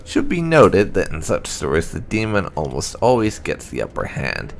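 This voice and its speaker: Male narrator for fantasy codex readings, deep pitch, gravelly edge, music underneath. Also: wildly swooping pitch, moderate pace, slight background noise. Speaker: gaming channel host. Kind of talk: scripted narration, reading lore entries aloud.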